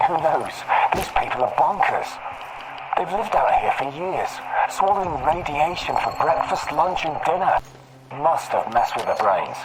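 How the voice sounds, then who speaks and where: A man's voice: fairly high pitch, lively intonation, a little slow, very noisy. An actor playing a cynical, easygoing male thug in a video game, casual and scornful. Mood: scornful, relaxed. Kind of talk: video game character acting.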